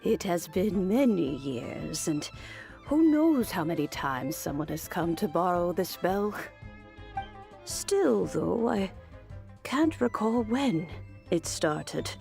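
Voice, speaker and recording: An actress playing a kindly, nostalgic old woman in a video game, speaking slowly and deliberately with a creaky voice. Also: lowish voice, expressive, full character voice. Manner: slow, deliberate, sighing, gently teasing. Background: soft game music.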